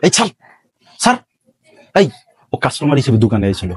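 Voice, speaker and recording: Guy as stern bangladeshi shop boss, comedy skit, bossy scolding, normal pitch, dry sound. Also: huge pitch swings, brisk, husky, no echo, full character voice. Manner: authoritative, scolding. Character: stern but fair male shop owner, commanding. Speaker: comic actor in an online skit.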